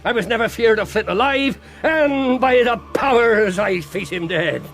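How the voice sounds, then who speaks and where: Gravelly, cunning old pirate ringleader. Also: male voice, sits high, animated, unhurried, a bit bright, soft background music, heavily acted. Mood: defiant, commanding. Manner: rolling rustic pirate speech, wheedling then commanding. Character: cunning, cajoling one-legged pirate ringleader, older man. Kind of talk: radio drama acting.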